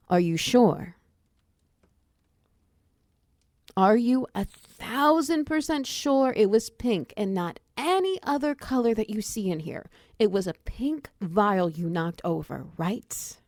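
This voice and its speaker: A woman voicing a muscular female minotaur wizard who runs a potion shop, in a teasing, commanding roleplay ASMR style, with a breathy voice that slips into whispers. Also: mid-range voice, a little mellow, no echo, studio quality. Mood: urgent, alarmed. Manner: intimate, teasing, commanding, with pet names. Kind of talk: roleplay ASMR audio drama.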